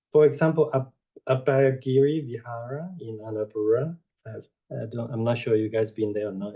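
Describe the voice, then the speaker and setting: A man gives an informal dharma lecture over a video call, unhurried and rambling, with frequent "you know" and self-corrections. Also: medium pitch, warm tone. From Taiwan. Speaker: Buddhist teacher giving a talk. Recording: dull lo-fi online audio.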